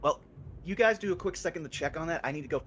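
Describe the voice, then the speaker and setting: A man's voice: fairly high pitch, expressive, quick pace, a bit bright, a little hiss or hum. A man chatting casually as a co-player, rambling with lots of fillers. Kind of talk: casual chat.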